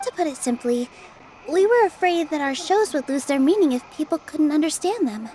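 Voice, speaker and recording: An actress playing a gentle, modest young theatre dancer in a video game, soft-spoken and earnest. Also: female voice, high voice, expressive, fairly quick, slight background noise. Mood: sincere.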